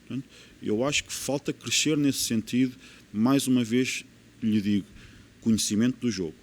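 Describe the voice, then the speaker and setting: A man's voice: mid-range voice, normal speed. A man with a European Portuguese accent, a football coach in a podcast interview, explaining his views earnestly and repeating key phrases for emphasis.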